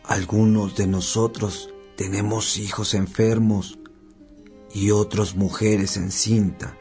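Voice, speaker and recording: A man's voice: fairly low pitch, flat delivery, takes it slowly, a little mellow. A man acting as a poor, hungry villager in a radio drama, speaking humbly and plainly for the destitute. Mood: weary, pleading. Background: music.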